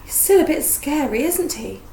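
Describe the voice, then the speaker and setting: A woman's voice: high voice, very animated, a little fast, creaky, warm tone, distant mic, exaggerated voice. A woman voicing a timid, anxious teenage schoolgirl in a storybook reading, with a nervous, proper manner. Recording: roomy echo, faint background noise.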